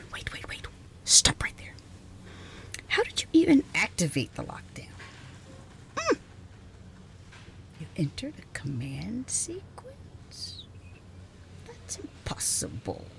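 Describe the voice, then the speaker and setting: Casting: woman to play reclusive genius scientist, female, imperious yet easily flustered; breathy whispers, wildly varied pitch, halting delivery for ASMR roleplay. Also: a bit bright, full character voice. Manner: halting, repetitive, sighing, imperious.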